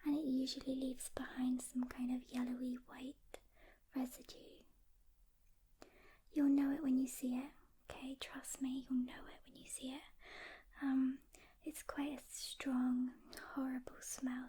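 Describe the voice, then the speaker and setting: Softly whispering woman, slow and gentle. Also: high-pitched, a little hiss or hum. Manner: gentle, reassuring, unhurried instruction with pauses. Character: calm, caring female demon hunter mentoring a rookie. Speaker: ASMR roleplay performer. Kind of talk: soft-spoken whispered ASMR roleplay.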